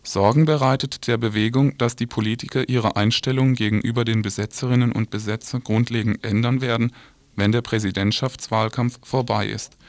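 A man's voice: medium pitch, steady tone, a little fast, no room sound. A man reading a scripted radio news report.

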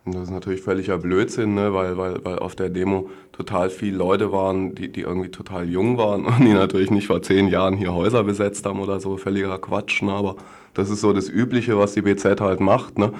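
A man's voice: sits low, little pitch movement, a little fast, darkish tone. A man giving a casual interview as an activist, talking bluntly and colloquially with frequent tag questions. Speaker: activist interview guest, demonstration participant.

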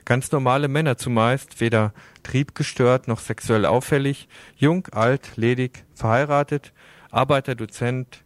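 Guy reading a radio opinion piece, medium pitch, very dry close sound, serious and formal. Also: steady tone, unhurried, dry recording. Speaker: radio commentator. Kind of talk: scripted opinion commentary, read out.

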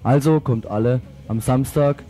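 A man's voice: mid-range voice, measured pace. A man reads a scripted radio announcement for an activist group's event. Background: guitar music.

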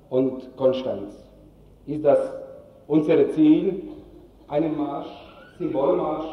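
Echoey, distant high-voiced man giving a speech. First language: not German. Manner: halting, emphatic short phrases. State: tired. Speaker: spokesman, activist. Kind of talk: impassioned public speech. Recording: echoey, distant, dull rally recording.

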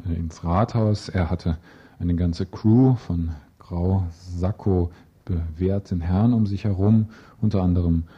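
A man with a deep, extremely dark and slightly rough voice gives a radio news report. His style is informal and wry. The recording is dull and lo-fi. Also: measured pace.

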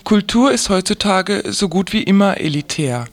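A man's voice: high voice, animated, moderate pace. A man presenting on radio, reading out a scripted press review commentary.

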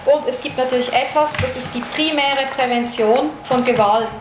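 A woman's voice: high-pitched, normal speed. A woman psychologist from Switzerland giving an explanatory lecture, illustrating her points with first-person examples. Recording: echoey room recording with noise.

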